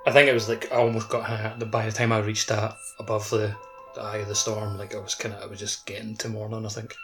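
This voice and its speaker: A man co-hosting a casual game podcast, speaking in a loose, rambling, halting way. His voice is on the low side, with music clearly audible behind him. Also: flat delivery, fairly bright tone. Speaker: podcast co-host. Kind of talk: casual game discussion chat.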